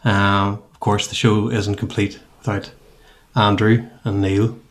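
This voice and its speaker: A man's voice: lowish voice, level tone, unhurried. A man hosting a podcast, introducing guests in casual chat with friendly chuckles and many ums. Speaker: podcast host.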